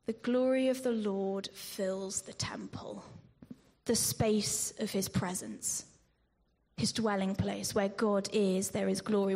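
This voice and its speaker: Slow, measured woman preaching. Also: medium pitch, vocal fry, a little mellow, slightly rough. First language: not Greek. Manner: slow, measured delivery with frequent ums and restarts. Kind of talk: sermon.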